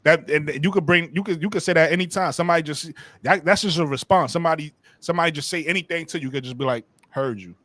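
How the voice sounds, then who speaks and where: A man's voice: on the high side, fast talker, hardly any pauses, a bit bright, no room sound. A man with a New York accent hosting a casual group chat, laid-back and slangy, often repeating his words. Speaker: podcast host.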